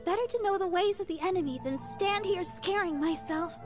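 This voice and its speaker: A woman voicing a sweet, timid teenage healer girl in a video game, soft and earnest with a hesitant manner. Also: very high-pitched, brisk, music in the background. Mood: anxious.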